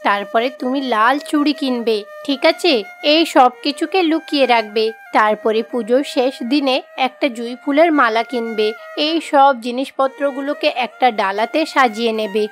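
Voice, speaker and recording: A very high woman's performance of a kindly village neighbour in a cartoon folktale. She explains things in an instructive, helpful way over background music. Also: unhurried. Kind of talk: Bengali fairy-tale cartoon dubbing.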